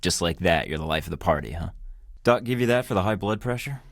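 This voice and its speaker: A deep-voiced, cocky American spy. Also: male voice, lively intonation, fast, dry recording, clean studio sound. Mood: threatening. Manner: wisecracking, flirty and menacing by turns. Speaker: game protagonist. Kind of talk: video game voice acting.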